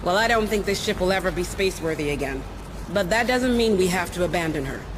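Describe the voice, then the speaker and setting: An actress playing a gruff, practical, overworked chief engineer in video game dialogue, blunt and plain-spoken. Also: female voice, fairly low pitch, lively intonation, fast talker, lightly acted. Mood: weary, determined. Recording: clear ship ambience noise behind.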